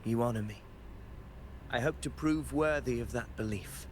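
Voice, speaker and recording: A man playing an earnest, devoted knight in a video game, speaking with formal, measured diction. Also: medium pitch, a little hiss or hum, close to an everyday voice. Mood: solemn devotion. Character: earnest loyal male knight, solemn and devoted. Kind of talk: scripted video game voice acting. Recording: game music behind the voice.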